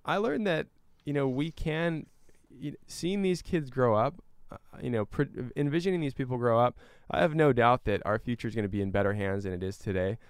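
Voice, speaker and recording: A man's voice: medium pitch, fairly quick, few pauses, smooth, dry recording, clean studio sound. A man giving earnest, explanatory answers as a guest in a conversational interview. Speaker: climate educator and guest.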